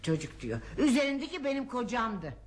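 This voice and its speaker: An actress playing a practical older wife in a radio play, brisk, nagging and fussing. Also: female voice, on the high side, sing-song, slight background noise. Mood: brisk, haggling. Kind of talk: radio theatre acting.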